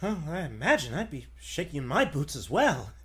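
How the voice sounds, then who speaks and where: A man playing an earnest, easily astonished newspaper reporter with halting, exclamatory delivery, in 1940s radio serial style. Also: a little high, big pitch swings, a bit bright. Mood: astonished, polite.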